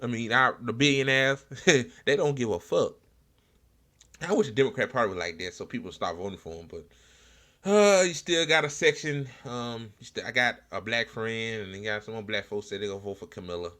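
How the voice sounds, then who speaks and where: Bright, forceful American man, bluntly opinionated. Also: medium pitch, expressive. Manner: blunt, slangy, profane; halting, repetitive delivery. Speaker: online political talk show host. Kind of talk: casual unscripted political commentary.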